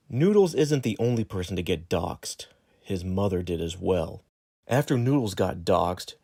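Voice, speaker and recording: A man's voice: fairly low pitch, warm tone, dry recording, clean studio sound. A man reading scripted narration for an exposé video.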